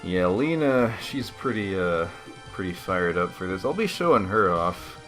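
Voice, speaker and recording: A man's voice: deep voice, big pitch swings, unhurried, a bit bright, faint music behind. A man giving casual, rambling game commentary as a let's play host, laid-back and slangy.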